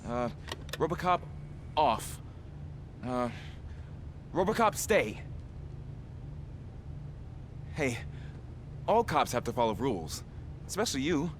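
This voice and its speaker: A man's voice: medium pitch, big pitch swings, unhurried, pauses often, noisy background. An actor playing a young, nervous, eager rookie policeman in a video game, hesitant and pleading. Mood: flustered, pleading.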